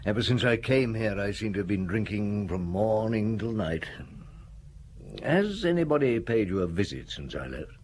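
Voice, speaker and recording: A calm, inquisitive inspector in a radio play, speaking. His voice sits fairly low and moves a lot in pitch. He murmurs and questions patiently. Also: normal speed, a little hiss or hum. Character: middle-aged male police inspector, calm and probing. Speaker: detective. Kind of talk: radio drama acting.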